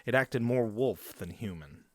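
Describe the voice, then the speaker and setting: Man acting as an animated, earnest young werewolf. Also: medium pitch, a little slow, no room sound. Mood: urgent, earnest. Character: young male werewolf, earnest son of the leader. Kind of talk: audio roleplay acting.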